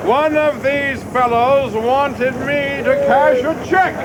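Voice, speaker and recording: Man acting very high, slow, wisecracking official. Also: fairly even intonation. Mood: wry, confident. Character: confident, wisecracking government official addressing reporters. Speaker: actor. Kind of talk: radio drama acting. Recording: noisy outdoor crowd scene, wind, engine rumble.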